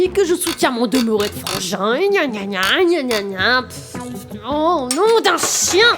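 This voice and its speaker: Snarky fed-up action gal woman acting, high pitched, really expressive, comedy audio play with music. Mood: exasperated. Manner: sardonic, mocking, blunt. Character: exasperated, sarcastic, tough female lone operative. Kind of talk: comic audio drama.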